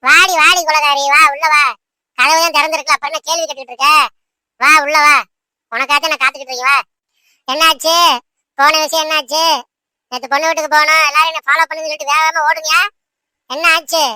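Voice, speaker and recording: A woman's voice: sits high, fairly even intonation, fast, long pauses, pushed and bright, dry recording. A woman voicing a scheming, impatient old village grandmother in a cartoon, bossy and brusque. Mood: bossy, eager. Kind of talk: amateur cartoon dialogue in colloquial speech.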